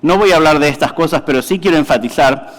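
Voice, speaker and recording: Brief: male presenter, halting delivery, echoing lecture hall. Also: fairly high pitch. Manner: halting, many fillers and self-corrections. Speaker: conference speaker, cardiologist. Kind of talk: medical conference talk. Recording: reverberant hall.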